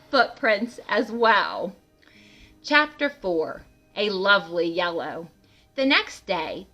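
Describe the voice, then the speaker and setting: A woman reading a picture book aloud to children in an animated way, adding asides and questions to the listeners. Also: mid-range voice, low-quality recording. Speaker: read-aloud host for children.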